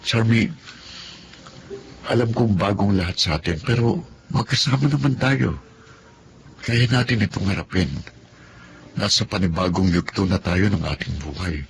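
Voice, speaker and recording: A man's voice: fairly low pitch, normal speed, gravelly, pauses often, background noise. A man with a Filipino accent playing an earnest, firm young husband in a radio drama. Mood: earnest, reassuring.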